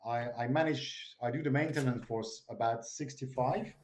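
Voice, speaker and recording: A man's voice: medium pitch, moderate pace, a little mellow, roomy sound. A man from Belgium, a native French speaker with a Belgian French accent, chatting hesitantly with many fillers as a guest on a video call. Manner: hesitant, lots of fillers while sharing screen. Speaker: web developer guest. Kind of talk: casual video-call interview.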